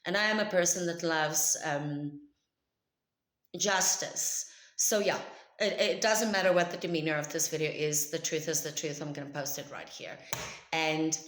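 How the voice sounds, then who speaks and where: Loud South African woman, low voice. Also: fairly even intonation, moderate pace, roomy sound. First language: Afrikaans. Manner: emphatic, chatty storytelling. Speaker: vlogger. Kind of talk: personal story told to camera.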